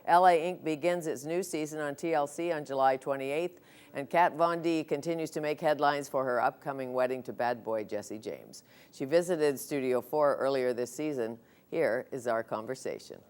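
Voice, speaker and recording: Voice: mid-range voice, darkish tone, clean tone. An announcer reading a scripted voice-over introduction for a TV segment. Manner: measured, scripted delivery.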